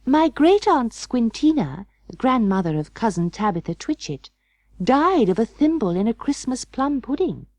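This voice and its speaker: A woman's voice: fairly high pitch, big pitch swings, moderate pace, a little mellow, no room sound, voice pushed up. A woman voicing a prim, fussy female cat hostess in a storybook reading, proper and emphatic. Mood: indignant, firm. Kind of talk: audiobook storybook character voice.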